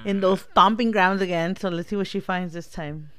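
A woman's voice: lowish voice, steady tone, moderate pace. A woman in her thirties chatting casually as a podcast co-host, blunt and teasing, laughing easily and slipping into Spanish now and then. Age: 30s. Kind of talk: casual chat.

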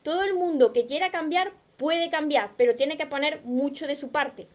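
A woman with a Spain Spanish accent and a notably high voice leads a live self-help stream. She explains things in a teacherly, earnest way, often repeating herself for emphasis and turning to her viewers' comments. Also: moderate pace. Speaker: personal growth coach.

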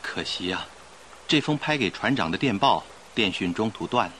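Suave young gentleman, animated intonation, mid pitch, radio play delivery, faint noise. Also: normal speed. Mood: informative, grave. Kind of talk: old-style radio drama acting.

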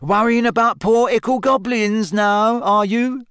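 A man's voice: high-pitched, lively intonation, moderate pace, a bit bright, no room sound, studio quality, pitched above the performer's own voice. A man voicing a teasing, easily worried teenage schoolboy in a storybook, informal and needling. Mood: mocking.

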